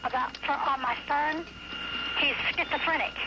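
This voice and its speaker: Panicked american woman calling 911, really high voice, super noisy phone line. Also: moderate pace. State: distressed, panicked. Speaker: emergency caller. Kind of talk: urgent phone call. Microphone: telephone. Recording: very noisy emergency phone call.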